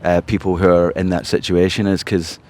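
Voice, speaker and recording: A man with a broad Glasgow Scottish accent and a notably low voice talks through his story in a relaxed interview. He hesitates often, doubling back on words before carrying on. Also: a little fast. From Scotland. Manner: hesitant, many restarts and fillers. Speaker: podcast guest, charity founder. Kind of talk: casual interview chat.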